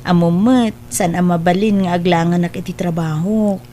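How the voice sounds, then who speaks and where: A woman's voice: on the low side, steady tone, moderate pace, mellow, a little hiss or hum, natural voice. A woman acting a sweet, affectionate young sweetheart in an Ilocano audio drama. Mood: cheerful.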